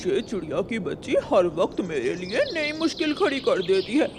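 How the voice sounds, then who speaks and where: A woman voicing a lazy, irritable male crow husband in a cartoon, complaining in a loud whine. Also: medium pitch, very animated, normal speed, background noise, lightly acted. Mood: exasperated.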